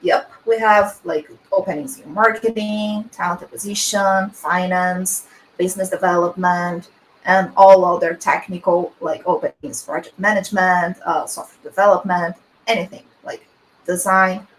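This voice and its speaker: A woman's voice: lowish voice, steady tone, normal speed, rough recording. Young Brazilian-accented woman host, chatty video call, faint hiss. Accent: Brazilian Portuguese. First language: Portuguese. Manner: chatty, laughs often, fills with "like". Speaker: webinar host. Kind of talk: casual webinar chat.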